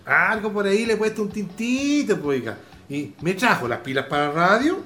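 A man's voice: sits high, sing-song, fairly quick, bright, forceful tone, soft background music, heavily acted. An actor playing an affectionate, stubborn old farmhand father with a rural Chilean accent and colloquial country speech, in a radio drama. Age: older.

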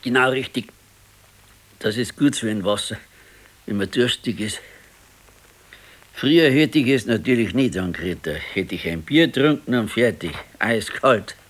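Brooding old Bavarian pensioner. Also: male voice, medium pitch, lively intonation, unhurried, long pauses, a bit bright. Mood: querulous, wistful. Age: 60s. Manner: plain, colloquial, brooding complaint. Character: gloomy ailing old pensioner husband, fearful and brooding. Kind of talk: naturalistic radio play dialogue.

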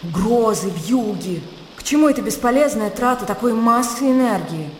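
A woman playing a composed, disdainful gentlewoman in a radio drama, dismissive and practical in manner. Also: on the high side, lively intonation, moderate pace, close to an everyday voice. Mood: disdainful, cool. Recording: echoing room, faint background noise.

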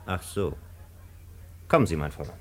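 An officious state security man in a radio drama. His voice is fairly low with lively movement, and he speaks in clipped, commanding phrases. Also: brisk. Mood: official. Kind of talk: German radio drama acting.

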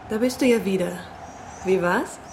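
A woman's voice: medium pitch, normal speed, darkish tone, slight background noise, close to an everyday voice. A woman acting a needy, watchful young girlfriend in naturalistic radio drama dialogue. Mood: coaxing. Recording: music behind the voice. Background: music.

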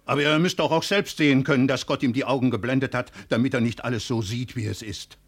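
An earnest country man played by a male actor in an old radio drama, loyally defending a friend. He speaks gravely and plainly, with quiet reproach, in a mid-pitched voice. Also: fast, natural voice. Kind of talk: 1950s German radio play acting.

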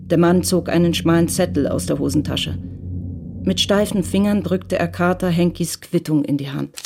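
A woman giving calm, measured scripted narration for a radio crime drama. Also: lowish voice, vocal fry, background noise.

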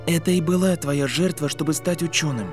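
A man's voice: fairly high pitch, a little fast, lightly acted. A man voicing an articulate, insistent boy wizard who reasons like a scientist, in an audiobook with music. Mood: insistent, lecturing. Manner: reasoning, lecturing, intense.

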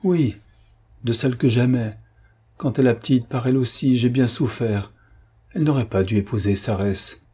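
A man voicing a tormented, half-mad old villain in a dramatic audiobook, halting and pleading, with pauses and repetitions. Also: medium pitch, dark tone, husky, natural voice. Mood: pained. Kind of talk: dramatic audiobook character reading.

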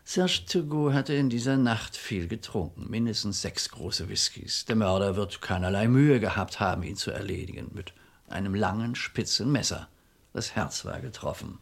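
An actor playing a weary, exasperated police commissioner in a radio crime drama, formal and reluctant. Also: male voice, lowish voice, animated, moderate pace. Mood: grudging, curt.

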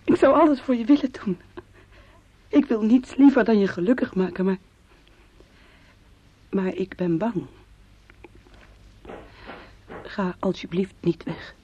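A woman's voice: medium pitch, unhurried, pauses often, warm tone, slight background noise, lightly acted. An actress in a radio play, playing an anguished young woman who speaks in an intimate, imploring way of love and fear. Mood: fearful pleading. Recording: dull old radio play recording.

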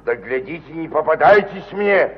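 Husky, swooping, stubborn landowner. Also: male voice, a little high, expressive, moderate pace. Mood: angry, defiant. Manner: gruff, mocking, earthy speech. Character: stubborn, blunt, coarse provincial landowner. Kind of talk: mid-century radio play acting. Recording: dull, reverberant 1950 radio recording.

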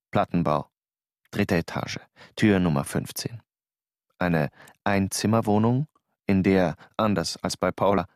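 An actor narrating a radio drama in the first person, calm and understated. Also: male voice, fairly low pitch, gravelly, husky.

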